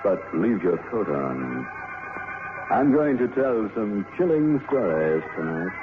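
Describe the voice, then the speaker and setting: A man's voice: lowish voice, big pitch swings, slow pace. A man playing a gleefully menacing horror show host, introducing tales with hammy, punning relish. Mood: ghoulish welcome. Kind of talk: theatrical horror anthology introduction. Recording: tinny narrow-band radio, loud music behind.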